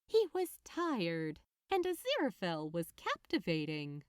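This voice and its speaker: A woman's voice: lowish voice, huge pitch swings, measured pace, smooth, no echo, clean studio sound. A woman reading a story aloud as narrator, in scripted storytelling style. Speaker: narrator, fan fiction reader.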